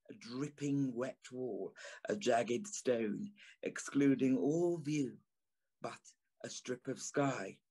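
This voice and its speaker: A uneasy Victorian gentleman who recounts a ghostly encounter. He narrates slowly and gravely in a mid-pitched voice, with literary weight, as in an audio play. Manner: slow, grave, literary delivery.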